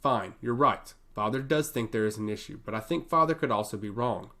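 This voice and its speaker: A man's voice: on the low side, brisk, pushed and bright. Man voicing a blunt, wry noble brother. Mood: dismissive. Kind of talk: fantasy audiobook character voice.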